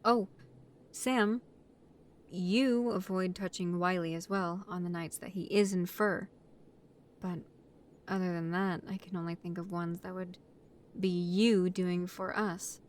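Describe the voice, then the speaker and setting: Woman acting a young vampire housemate, slow pace, halting delivery, matter-of-fact, bossy but considerate, audio roleplay. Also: lowish voice, steady tone, natural voice. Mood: matter-of-fact, polite.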